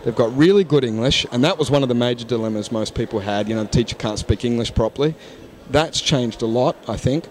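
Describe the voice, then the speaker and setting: A man's voice: mid-range voice, fast talker, darkish tone, slight background noise. A man, a guest in a casual interview, talking frankly and thoughtfully with many restarts, into a handheld mic at a conference. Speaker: interview guest, English teacher. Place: conference hall lounge, indoors.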